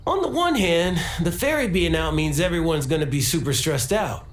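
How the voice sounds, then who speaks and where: Actress as a wry, low-voiced bar owner. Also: female voice, lively intonation, normal speed, echoey room, natural voice. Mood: wry, scheming. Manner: wry, casual. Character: wry, laid-back bar owner, opportunistic. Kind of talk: video game character acting.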